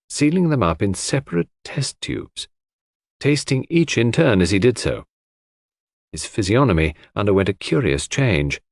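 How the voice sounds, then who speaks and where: A man's voice: mid-range voice, vocal fry, no echo, studio quality. A man reading scripted literary fiction as a dramatic audiobook narrator.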